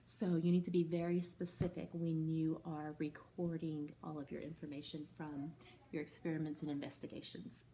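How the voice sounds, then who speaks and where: Expressive woman teacher reading aloud. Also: low-pitched, moderate pace, slight background noise, rough recording. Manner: expressive, teacherly, explains patiently to children. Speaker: second-grade teacher. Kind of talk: reading a picture book aloud to a class, with explanations.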